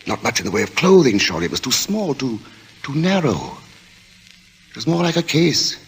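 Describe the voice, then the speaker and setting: A man narrating a suspense story in 1940s radio style, grave and deliberate, with dramatic pauses. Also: medium pitch, sing-song, husky, full character voice. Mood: suspenseful. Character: grave storyteller of a creeping murder mystery.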